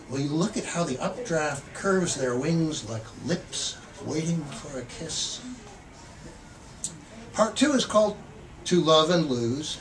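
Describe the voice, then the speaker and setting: Slow-paced man reading poetry, distant and noisy. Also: a little high. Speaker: poet reading own work. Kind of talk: live poetry reading with brief spoken introductions. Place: public poetry reading in a bookstore. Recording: distant mic, noisy room ambience.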